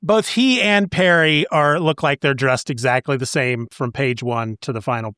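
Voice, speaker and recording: A man co-hosting a podcast in a casual chat, retelling stories in an animated way. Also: medium pitch, moderate pace, clean tone, no echo, studio quality. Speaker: podcast co-host. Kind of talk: casual comic book discussion.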